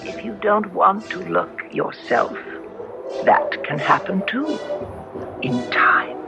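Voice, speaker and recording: An actress playing a mysterious, lovelorn ghost woman in an old radio horror play, speaking slowly and cryptically with eerie patience. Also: medium pitch, very animated, creaky, exaggerated voice. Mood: eerie, knowing. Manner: slow, cryptic, drawn-out delivery. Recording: muffled vintage radio broadcast recording. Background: dramatic background music.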